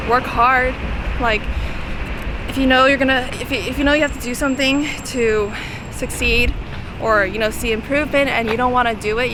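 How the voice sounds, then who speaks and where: A woman in her twenties, a music producer from Los Angeles, chatting casually in an outdoor interview with lots of "like" fillers. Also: on the high side. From Los Angeles, California. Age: 20s. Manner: chatty, filler-heavy, giggly. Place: outdoors on a city street. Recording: loud street traffic noise. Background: passing traffic.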